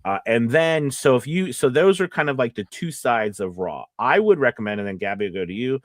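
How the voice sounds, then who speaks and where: A man's voice: medium pitch, expressive, brisk, no echo. Rambling man coaching, close dry sound. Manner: rambling, thinks out loud, checks in with "right?". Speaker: host and voice acting coach. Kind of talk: casual live teaching chat.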